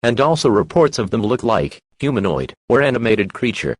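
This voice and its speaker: A man's voice: mid-range voice, very animated, moderate pace, husky, no echo. A man's synthetic-sounding voice reading a scripted emergency alert in a formal, impersonal manner.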